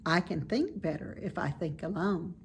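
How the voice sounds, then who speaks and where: A woman voicing a calm, motherly female spider in a storybook, measured, comforting and gently firm. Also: lowish voice, a little hiss or hum, natural voice. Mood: cool, light.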